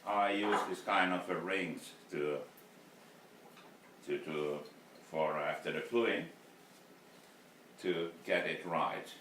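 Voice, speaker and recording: A Finnish man in his fifties speaks English with a very deep voice, slowly and haltingly. He is heard through a camera mic several feet away in a roomy workshop, with faint noise behind him. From Finland. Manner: slow, halting, many fillers and restarts. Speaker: woodturner vlogger. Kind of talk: casual workshop vlog. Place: cluttered indoor wood shop. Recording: roomy workshop sound from a distance.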